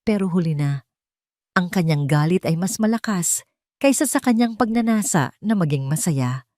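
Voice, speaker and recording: A woman's voice: lowish voice, lively intonation, normal speed, a little mellow, no echo. Filipino woman narrating drama, close dry sound. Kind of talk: dramatic scripted story narration.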